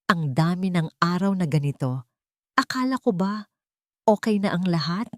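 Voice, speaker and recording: A woman's voice: on the low side, normal speed, no echo, studio quality, natural voice. A woman narrator voicing a scheming, anxious man hiding his guilt in a story. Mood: irritated. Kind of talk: dramatic storybook dialogue.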